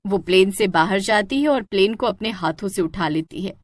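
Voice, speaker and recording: A woman's voice: mid-range voice, animated, moderate pace, no room sound, studio quality. A woman narrating a scripted cartoon horror story.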